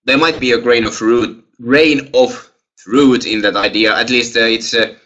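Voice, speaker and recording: A man's voice: mid-range voice, steady tone, measured pace, fairly bright tone, highs cut off. A young man with a Finnish accent, a lecturer answering questions in a webinar over a headset on a video call, hesitant, with many fillers and restarts. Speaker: guest lecturer.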